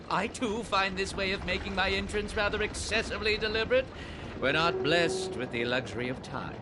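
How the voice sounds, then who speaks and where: A man's voice: high-pitched, background noise. An actor playing a fussy, verbose male memory keeper in a game cutscene, speaking in a pompous, ornate manner. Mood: amused. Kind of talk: dubbed game cutscene dialogue. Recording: voice over loud music.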